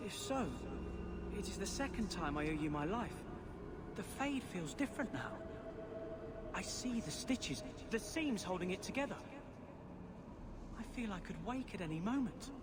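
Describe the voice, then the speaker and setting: A man's voice: sits high, expressive, moderate pace, long pauses. An actor playing an earnest, uncertain young male mage in a video game, with a hesitant delivery. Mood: thoughtful, earnest. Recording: loud ambient noise.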